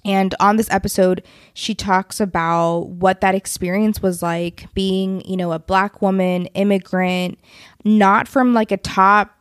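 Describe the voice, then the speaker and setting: A woman's voice: mid-range voice, fairly even intonation, unhurried, clear, resonant tone, dry recording, clean studio sound. A woman hosting a career podcast who also works as a career coach, talking in an upbeat, enthusiastic way.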